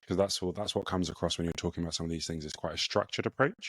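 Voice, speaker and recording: Deep-voiced podcast host, relaxed and affirming, dry close recording. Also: very fast, dry recording. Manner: soft affirming murmurs, relaxed questions. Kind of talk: casual interview questions.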